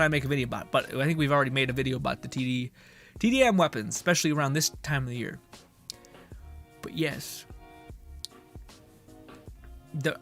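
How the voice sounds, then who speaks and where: A man's voice: on the high side, a bit bright. A young man streaming a game, chatting casually with his viewers and reading their messages aloud, laughing and swearing often.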